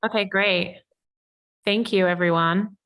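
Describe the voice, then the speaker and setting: A woman's voice: mid-range voice, fairly even intonation, slow, fairly bright tone, smooth. A woman in her forties with an Australian accent, a developer giving an upbeat, informal presentation to a town council over a video call, with plenty of ums. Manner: upbeat, selling, frequent ums.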